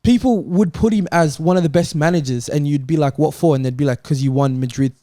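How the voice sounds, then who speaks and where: A man's voice: fairly high pitch, fairly quick, mellow, dry recording, clean studio sound. A man in a casual, insistent sports debate, arguing his point as a talk show panelist. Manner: argumentative, insistent.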